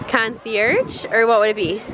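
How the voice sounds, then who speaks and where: Higher-voiced American woman, very noisy outdoors. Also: unhurried. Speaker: travel vlogger. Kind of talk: casual vlog chat. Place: walking around a resort, mostly outdoors. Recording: very noisy outdoor camera sound.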